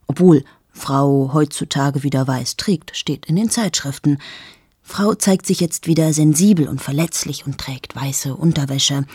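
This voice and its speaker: An actress playing a cool, self-absorbed journalist in her late thirties in an intimate radio play monologue, speaking in a hushed, deliberate way that often drops towards a whisper. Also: low-pitched, breathy edge, dry recording, clean studio sound. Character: 38-year-old female journalist, cool, amoral, confessional and self-absorbed.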